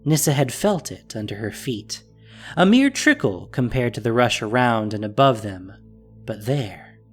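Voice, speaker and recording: A man reading scripted story narration as the narrator of an audio drama. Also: mid-range voice. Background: music.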